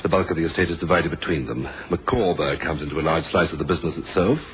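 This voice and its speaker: An actor playing a measured, dryly thoughtful police inspector in a radio drama, polite and understated in manner. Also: male voice, rumbling low. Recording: dull, hissy old radio recording.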